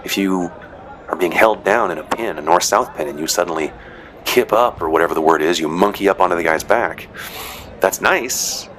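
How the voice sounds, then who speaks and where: A man's voice: deep voice, normal speed, a bit bright, slight background noise, poor audio. A man casually narrating footage of matches he refereed, chatty and rambling with dry joking asides. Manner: rambling, chatty, frequent "you know" and "uh". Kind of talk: casual commentary.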